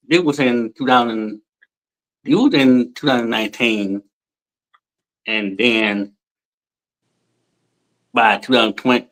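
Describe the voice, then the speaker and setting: A man's voice: mid-range voice, fairly bright tone, low-quality recording. Chatty man in his forties. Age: 40s. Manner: rambling, repeats and restarts himself; colloquial informal speech. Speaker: guest. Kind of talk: casual storytelling in an interview.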